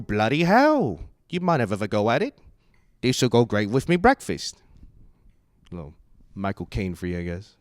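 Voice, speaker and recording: A man's voice: mid-range voice, no room sound, clean studio sound. A man from the United States whose first language is Cantonese, speaking as a comedian in a casual, rambling, profane monologue. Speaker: stand-up comedian.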